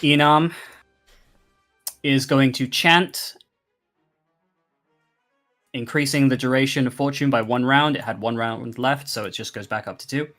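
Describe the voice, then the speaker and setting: A man's voice: mid-range voice, steady tone, unhurried, long pauses, a bit bright. A man narrating combat as game master of a tabletop roleplaying game, speaking casually. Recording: background music under the voice. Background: music.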